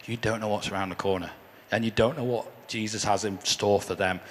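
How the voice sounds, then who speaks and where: A man with a hoarse, croaky voice gives an earnest church testimony as a guest speaker, often repeating words as he restarts. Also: on the low side, steady tone, a little fast, a little hiss or hum. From England. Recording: echoey hall with faint ambience.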